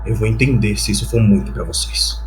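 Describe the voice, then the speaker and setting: A man's voice: fairly low pitch, fairly quick, slight background noise, lightly acted. A man with a Brazilian accent playing a brash, terse rebel rocker in a cyberpunk audio drama. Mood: urgent, frank.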